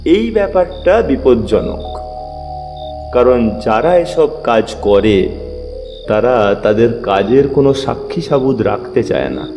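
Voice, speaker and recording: A man narrating a dramatized first-person story in an expressive, measured storytelling manner. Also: mid-range voice. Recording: long reverb, music bed.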